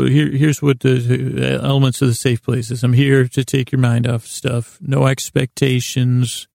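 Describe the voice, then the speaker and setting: A man with a heavily gravelly, fry-laden voice rambles through a bedtime podcast monologue. His delivery stays flat and low-key, full of stammers and meandering restarts. The sound is close and dry. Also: no echo. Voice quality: gravelly, creaky. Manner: meandering, halting, stammering with repeated false starts; drowsy, droning, low-key. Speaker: podcast host.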